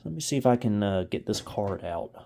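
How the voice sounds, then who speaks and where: A man's voice: lowish voice, expressive, brisk, creaky, mellow. A man giving casual hands-on commentary as a video host, thinking aloud and often unsure. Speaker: host of a vintage computer video channel. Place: indoors in a home room.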